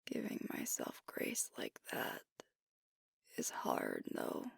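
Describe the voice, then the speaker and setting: A woman playing a sweet, self-critical werewolf pack leader in an intimate ASMR roleplay, breathy and often whispering, speaking hesitantly and tenderly. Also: no room sound, studio quality, natural voice. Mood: vulnerable, grateful. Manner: hesitant, tender, earnest, with long pauses.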